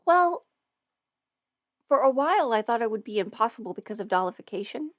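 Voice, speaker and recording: A woman's voice: a little high, very animated, a little fast, no echo, studio quality. A young woman hosting a casual livestream Q&A as an audio performer, chatty and meandering, with plenty of fillers and restarts. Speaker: audio performer and channel host.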